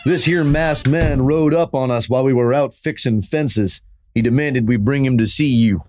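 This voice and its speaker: A man's voice: medium pitch, moderate pace, a little mellow, close to an everyday voice. Guy doing suspicious cowhand, medium voice, accusing, western radio play. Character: suspicious, accusing male ranch hand. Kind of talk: Western radio drama acting.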